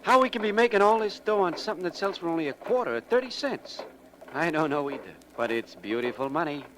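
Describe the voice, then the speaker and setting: A man's voice: fairly high pitch, expressive, fast talker, close to an everyday voice. Henchman played by a male actor: admiring, dim, dangerous, uneducated slang, noisy old radio crime show sound. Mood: fawning. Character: fawning, dim, murderous gangster henchman. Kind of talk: 1940s radio detective drama acting.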